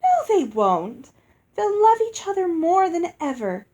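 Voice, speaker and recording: Lady reader doing a gushy self-satisfied fairy woman from a storybook, way up high, singsong and airy. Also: big pitch swings, measured pace, mellow, exaggerated voice. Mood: airily confident. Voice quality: musical, lilting. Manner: gushing, breezily defiant. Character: beautiful vain blissful female fairy, airily self-satisfied. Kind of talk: acted children's storybook reading.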